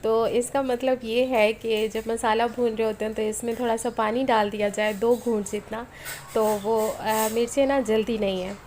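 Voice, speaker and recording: A woman's voice: on the high side, steady tone, normal speed, darkish tone. A woman in her thirties from Pakistan, with a sore, strained throat from flu, chatting casually through a home cooking voice-over and talking straight to viewers. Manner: chatty, homely, addresses viewers directly. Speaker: cooking vlogger. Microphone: voice-over recorded at home. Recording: faint background noise at home. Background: street and household noise.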